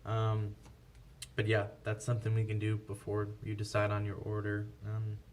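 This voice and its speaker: A man with a fairly low voice talks through products in a casual, unscripted way. His delivery is flat and matter-of-fact, like a shop representative explaining options. He sounds some distance from the mic, with faint noise behind him. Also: moderate pace. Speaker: scanner shop representative presenting a product comparison.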